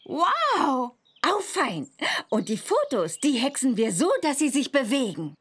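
An excitable young witch girl for a cartoon game. She is friendly and girlish, pitched high with bouncy intonation. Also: a little slow, husky, exaggerated voice. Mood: excited.

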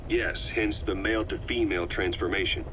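An actor playing a tough middle-aged military commander in a video game, terse and serious, with a probing, no-nonsense way of questioning. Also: male voice, on the low side, steady tone, fairly quick, background noise, lightly acted. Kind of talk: scripted video game dialogue.

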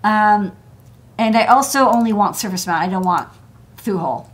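A woman's voice: mid-range voice, normal speed. Chatty woman walking through parts. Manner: chatty, many fillers and restarts. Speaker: electronics engineer hosting a desk show. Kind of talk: casual technical walkthrough. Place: indoor workshop desk.

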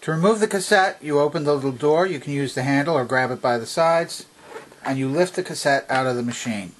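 A man's voice: fairly high pitch, a little fast, slight background noise. Man methodically demonstrating a gadget. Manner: methodical, clearly enumerating. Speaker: vintage electronics demonstrator. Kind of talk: hands-on product demonstration. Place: indoors at a home workbench.

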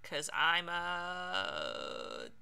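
A woman's voice: lowish voice, wildly swooping pitch, very slow, gravelly, sharp tone, clear, resonant tone. A woman doing casual Let's Play commentary, chatting and thinking aloud as she plays. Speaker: streamer playing a video game.

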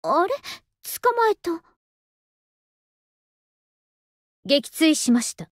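A woman playing a lazy, polite, softly affectionate young masked soldier in an anime game, with an understated, languid delivery. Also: extremely high pitch, sing-song, measured pace, dry recording, clean studio sound, heavily acted. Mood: battle-ready. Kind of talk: Japanese anime game voice acting.

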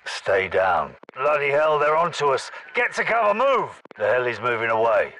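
An actor playing a gruff, commanding British special forces captain in a video game, giving terse, urgent combat orders. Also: male voice, mid-range voice, very animated, moderate pace, pushed and bright, far from the mic, a little hiss or hum, low-quality recording. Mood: steady command. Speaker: military squad leader in a video game. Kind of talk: video game battle chatter.

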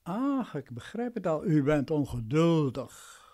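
Radio play role: condescending, domineering male lawyer, highish voice with very animated intonation. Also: unhurried. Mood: self-justifying. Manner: patronising, imperious.

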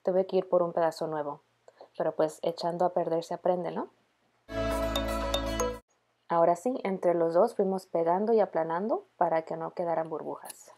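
A woman's voice: lowish voice, steady tone, normal speed, long pauses, loud music behind the voice. A woman in her thirties casually narrating a home DIY vlog, dropping in the odd English word. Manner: casual, mixes in English words.

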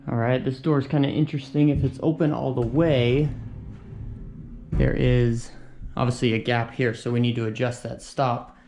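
A young handyman talks through his work in a small indoor room at an easy, chatty pace. His voice sits at an ordinary middle pitch, picked up by a camera a few steps away. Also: moderate pace, a little hiss or hum. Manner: explains while working, relaxed and chatty. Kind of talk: casual work narration.

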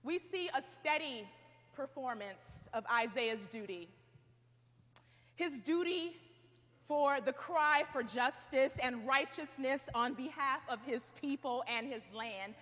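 Young woman preaching, high bright voice, lively storyteller, echoey hall. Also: takes it slowly. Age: young adult. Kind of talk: sermon. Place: large stone chapel. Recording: long hall reverb.

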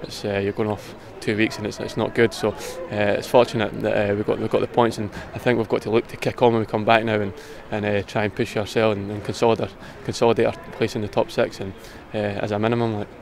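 A young Scottish man, a footballer giving a casual post-match interview outdoors by the pitch, saying "aye" in a quick, relaxed way. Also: vocal fry. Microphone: handheld interview mic, close camera.

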